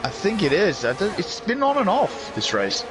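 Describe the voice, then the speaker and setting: A man's voice: sits high, wildly swooping pitch, normal speed, music in the background. A man co-commentating a live sim racing league, chipping in short remarks and agreement. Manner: brief interjections and agreement.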